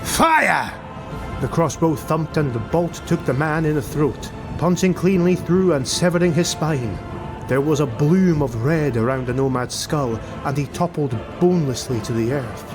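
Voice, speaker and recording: A man's voice: fairly high pitch, moderate pace. A man reading a fantasy audiobook as a scripted, dramatic narration. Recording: music bed behind the voice. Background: music.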